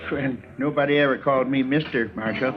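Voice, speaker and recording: An actor playing a timid, worried little homesteader in a radio western, meek and hesitant, stammering with stubborn fear. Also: male voice, medium pitch, fairly even intonation, fairly quick, a crowd in the background, lightly acted. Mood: anxious, meek. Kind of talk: 1950s radio western acting. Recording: muffled old radio broadcast.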